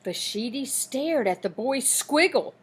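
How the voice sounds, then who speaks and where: A woman reading a children's picture book aloud in an expressive, deliberate, dramatic storytelling manner. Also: high-pitched, breathy edge.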